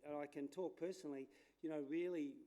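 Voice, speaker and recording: Male speaker, landscape architect. Relaxed, chatty delivery with fillers, on a stage mic. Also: fairly high pitch, fast talker. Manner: chatty, self-deprecating, with fillers; says his voice is loud. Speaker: landscape architect giving a talk. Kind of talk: informal conference presentation. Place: lecture room at a conference.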